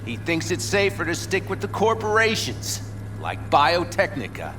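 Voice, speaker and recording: An actor playing a cynical, teasing veteran nomad smuggler in a video game, with a sardonic, animated delivery. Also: male voice, high-pitched, moderate pace, noisy background. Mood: bitter.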